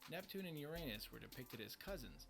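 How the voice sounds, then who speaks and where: A man's voice: fairly high pitch, big pitch swings, fairly quick, creaky, fairly bright tone. A man reading scripted countdown narration as the narrator of a list video. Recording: music bed under the voice. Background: background music.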